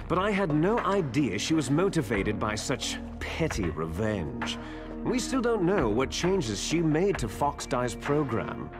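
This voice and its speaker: An actor playing a bitter, taunting villain, the hero's twin soldier brother, in a theatrical, smug video game cutscene style. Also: male voice, on the high side. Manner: theatrical, smug, drawn-out menacing delivery. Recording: loud game music behind the voice.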